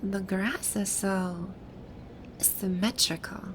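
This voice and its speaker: A woman playing a haughty, grouchy video game villain boss with a secretly soft side, speaking in imperious, formal grumbles in an ASMR roleplay. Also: medium pitch, steady tone, slow, noisy background, close to an everyday voice. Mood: musing, grouchy. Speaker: audio roleplay voice actor.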